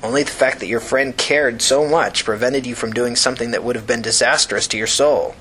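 A man plays a stern old man leading a cult in an audiobook novel. He is calm and measured, sanctimonious, in a middle pitch with a bright edge. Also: a little hiss or hum, lightly acted, rough recording.

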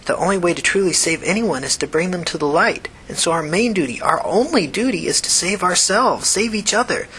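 A man puts on a devout young woman for an audiobook novel, her voice lifted high and bright. She speaks in a hushed, urgent way, earnest and preachy, over clear background noise. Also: expressive, a little fast, hardly any pauses. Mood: solemn, preachy.

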